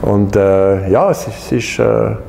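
Gravelly older Swiss man, French accent. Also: on the low side, mellow, slight background noise. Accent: French-speaking Swiss. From Geneva, Switzerland. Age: 60s. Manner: halting, frequent hesitations and restarts. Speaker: patient sharing his experience. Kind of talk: personal account to camera. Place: bright studio room, indoors.

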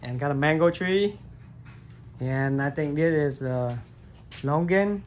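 A man's voice: fairly high pitch, takes it slowly, slight background noise. Chatty man touring a basement grow room. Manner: loose, chatty, fills with "like" and "um". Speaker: gardening vlogger. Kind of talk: casual how-to tour. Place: basement grow room with plants under grow lights. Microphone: handheld phone camera, filming his hands.

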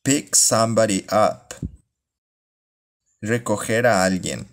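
A man who speaks as his teaches English phrases, reading each one slowly and then word by word. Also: on the low side. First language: Spanish. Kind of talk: bilingual language lesson, slow drilled repetition.

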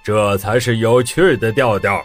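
A man's voice: fairly low pitch, measured pace, close to an everyday voice. A man playing a boastful, greedy, hearty fat nobleman in an audio drama, with a blustering drawl. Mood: jovial. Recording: music behind the voice.

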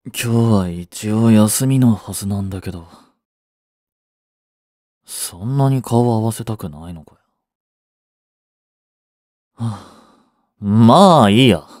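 Sulky young boyfriend played by a male actor: curt, skeptical, widely varied pitch, dry close sound, romance audio drama. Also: expressive, slow pace, long pauses, darkish tone, no room sound. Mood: gloomy. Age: young adult. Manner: blunt, muttering, sighing.

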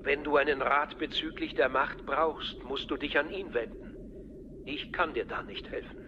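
An actor playing a patient, subtly manipulative older mentor in a radio play, measured and paternal. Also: male voice, on the high side, fairly even intonation, natural voice. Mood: probing, fatherly. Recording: dull sound, clearly noisy ambience.

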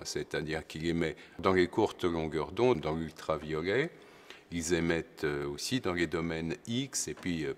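A man speaking as an astrophysicist, giving an unscripted educational explanation in a didactic way, searching for his words as he goes. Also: sits low.

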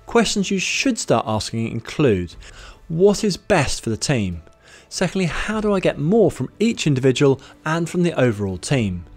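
A British man in his thirties, a management trainer, speaking to camera in a studio in a measured, instructive way and taking the points in order. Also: on the high side, big pitch swings. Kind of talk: scripted presenter talk to camera. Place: studio with plain grey backdrop. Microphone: studio mic on boom arm in front.

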